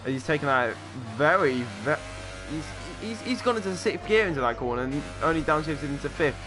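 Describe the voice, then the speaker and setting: A man commentates a sim race in a quick, excitable lap-by-lap style, tossing in offhand asides. His voice sits a little high. Music plays clearly underneath him. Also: rough recording.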